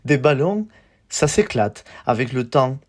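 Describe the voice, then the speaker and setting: Man reciting poetry at a slow pace. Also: medium pitch, sing-song. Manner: measured, declamatory, short phrases with pauses. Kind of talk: spoken-word poetry recital.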